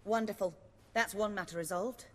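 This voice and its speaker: A woman playing a refined, self-assured stage actress and director in a video game, speaking in a measured, articulate, theatrical way. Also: medium pitch, a bit bright, in a large hall, slight background noise, lightly acted. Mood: pleased, considering. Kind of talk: video game dialogue acting.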